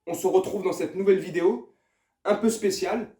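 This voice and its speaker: A man's voice: high voice, fairly quick, mellow, echoey room. A young man hosting a channel, chatting casually to his viewers and hesitating often. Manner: chatty, hesitant with fillers, addresses viewer directly. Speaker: YouTube host. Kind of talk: casual chat to camera.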